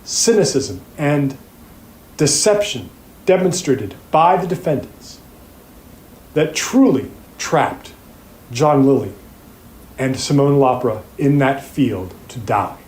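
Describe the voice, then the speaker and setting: In a roomy, echoing space, a man acts as a grave attorney addressing a jury in an audio drama. He speaks slowly and formally at a middle pitch, earnest and measured, with deliberate pauses. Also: expressive, darkish tone. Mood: earnest, persuasive. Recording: roomy echo, faint background noise.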